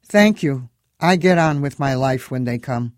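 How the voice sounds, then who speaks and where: A man's voice: mid-range voice, lively intonation, a little slow, no echo, studio quality, natural voice. A man voicing a chatty, kind male cab driver from Moldova, with a Moldovan accent and clipped broken English. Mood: friendly.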